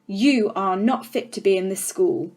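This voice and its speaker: A woman's voice: mid-range voice, a little slow, darkish tone. A woman voicing a tyrannical, menacing headmistress in a storybook, emphatic and contemptuous. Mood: furious. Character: tyrannical, child-hating, menacing adult headmistress.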